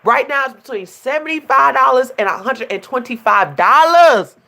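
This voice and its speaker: A woman's voice: high voice, normal speed. Stuffy-nosed woman chatting to viewers. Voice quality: slightly hoarse. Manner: chatty, animated, talks directly to viewers. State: stuffy and nasal from a runny nose. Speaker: life coach hosting a livestream. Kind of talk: casual livestream chat.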